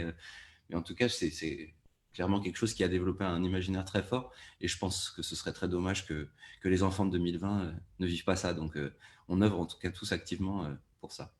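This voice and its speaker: A man giving long, structured explanations as a panelist in a conversational discussion. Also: low voice. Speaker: panelist, audio fiction producer.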